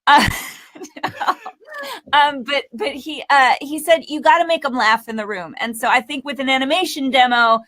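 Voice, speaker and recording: A woman who coaches voice acting, hosting a casual webinar chat over a video call, talking in a chatty, animated way. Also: sits high, normal speed.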